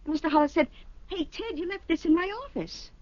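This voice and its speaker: High-voiced chatty secretary. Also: female voice, darkish tone. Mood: musing, recalling. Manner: chatty, halting, eager. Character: chatty, helpful, scatterbrained female office secretary. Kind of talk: 1970s radio drama acting. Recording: dull lo-fi old radio recording.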